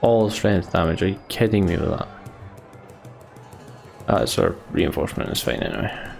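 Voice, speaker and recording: A man's voice: deep voice, normal speed, vocal fry, faint music behind. A man hosting a let's play, commenting on the game and reading its story text aloud as narration.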